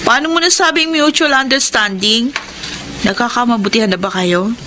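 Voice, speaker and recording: A Filipino actress playing a nosy, eager confidante in a radio drama, inquisitive and pushy. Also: female voice, fairly high pitch, moderate pace, pushed and bright, a little hiss or hum. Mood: curious, teasing.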